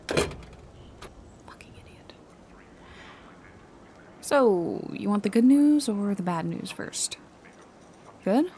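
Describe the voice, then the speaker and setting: A woman's voice: mid-range voice, a little mellow, a little hiss or hum, natural voice. A woman playing a sarcastic female private detective in a noir ASMR roleplay, with a dry, casually streetwise delivery. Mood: annoyed, sarcastic, then dry, teasing. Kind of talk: noir ASMR audio roleplay, intimate second-person acting. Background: music, rain and city ambience.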